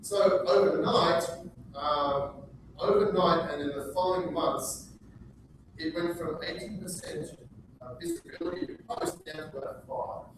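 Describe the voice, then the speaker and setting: A man's voice: on the high side, sing-song, measured pace. Upbeat Australian man presenting in an echoey room. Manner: upbeat, engages audience with questions. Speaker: presenter at business breakfast. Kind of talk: live seminar presentation. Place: meeting room with audience. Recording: echoey room, far from mic, background noise.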